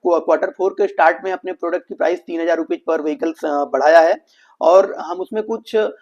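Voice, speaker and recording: A dull, lo-fi recording of an Indian man with a slightly high voice. He talks quickly as he explains financial results, addressing his viewers as friends. English business terms are mixed into his speech. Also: steady tone, darkish tone. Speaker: financial analysis presenter. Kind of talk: explainer commentary on an earnings call.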